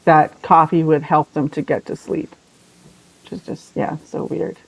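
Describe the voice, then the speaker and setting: A woman joining a casual podcast chat with quick, agreeable reactions. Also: low voice, flat delivery, vocal fry. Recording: dull lo-fi sound.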